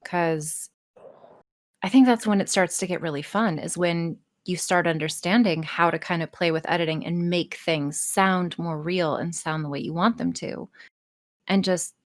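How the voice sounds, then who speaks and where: Candid, low-voiced woman chatting. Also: fairly even intonation, normal speed, dry recording, studio quality. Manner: candid, emphatic, profane, self-deprecating. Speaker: podcast guest, erotic audio role-play performer. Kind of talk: casual podcast chat.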